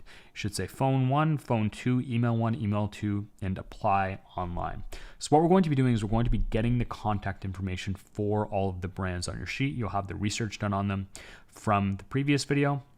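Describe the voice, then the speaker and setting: A young man from Canada walks through an online course lesson in a steady, instructive voice. His pitch sits on the low side, and he lays out each point in order. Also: brisk. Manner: steady, instructive, step-by-step explaining. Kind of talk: scripted online business lesson.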